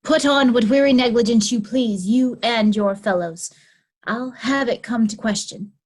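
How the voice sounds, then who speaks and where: A woman's voice: a little high, measured pace, darkish tone. A woman playing a haughty, resentful royal daughter in a readers' theatre Shakespeare play, imperious and scornful. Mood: scornful, commanding.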